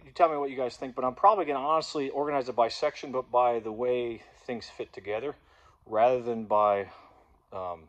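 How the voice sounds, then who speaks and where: A man in his thirties giving a relaxed, rambling walkthrough of his gym. He films himself on a phone held at arm's length in a large warehouse space. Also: medium pitch. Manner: rambling and relaxed, with fillers and restarts. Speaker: gym owner vlogger. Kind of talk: casual walkthrough vlog.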